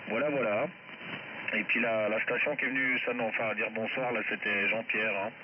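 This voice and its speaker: Muffled shortwave reception with clear static carries a low-voiced man. He is an amateur radio operator, chatting casually with another station over the air. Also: fairly even intonation, fairly quick. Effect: shortwave amateur radio transmission. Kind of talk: casual radio contact chat. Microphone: heard through a radio receiver.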